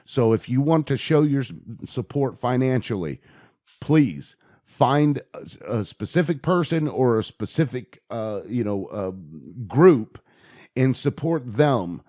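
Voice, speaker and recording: An American man talking informally and giving his opinions in a halting way, repeating words as he goes. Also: medium pitch, animated, a little mellow, dry recording, clean studio sound. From United States. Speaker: video blogger and activist. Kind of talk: informal opinion monologue and phone inquiry.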